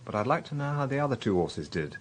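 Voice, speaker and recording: A man's voice: mid-range voice, sing-song, fast talker, slight background noise, close to an everyday voice. An actor playing an inquisitive, dogged male police inspector in a radio drama. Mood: brisk, thoughtful. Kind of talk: radio drama dialogue and narration.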